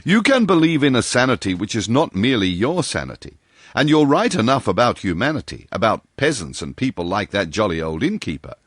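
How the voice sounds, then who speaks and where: A man voicing an earnest, keen-eyed young male poet who speaks in a measured way. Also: on the low side, big pitch swings, no room sound, clean studio sound.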